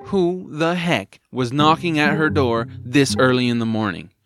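Guy narrating an audio drama, medium pitch, expressive storyteller, music going underneath. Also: a little slow.

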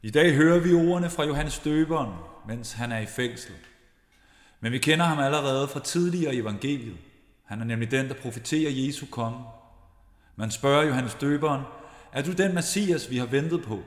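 A man's voice: medium pitch, natural voice. Male actor as flustered parish priest, stammering, fussy, comic radio drama, echoey hall. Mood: preaching. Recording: echoey reverberant church sound.

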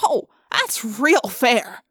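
A woman's voice: a little high, big pitch swings, extremely slow, sharp tone, breathy edge, no echo, clean studio sound, heavily acted. Woman as a brash, sardonic teenage boy. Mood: sarcastic. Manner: sarcastic, brash.

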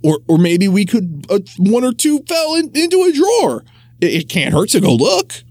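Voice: mid-range voice, wildly swooping pitch, normal speed, darkish tone, no echo, pitched above the performer's own voice. A reader doing a stammering banker's wife. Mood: flustered. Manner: stammering, flustered. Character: poor village banker's wife, caught hiding food.

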